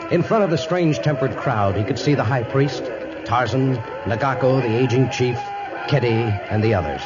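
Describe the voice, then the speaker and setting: Guy narrating old radio adventure serial, dramatic and formal, muffled recording, music behind. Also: medium pitch. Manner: formal, theatrical storytelling.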